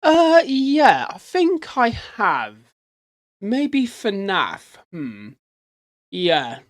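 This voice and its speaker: A man's voice: high-pitched, huge pitch swings, very slow. Casual rambling man reacting to a video. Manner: rambling, addresses viewers directly. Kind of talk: casual chatty reaction commentary.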